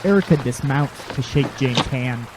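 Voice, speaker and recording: A man's voice: mid-range voice, unhurried, noisy background. A man narrating a scripted audio drama story.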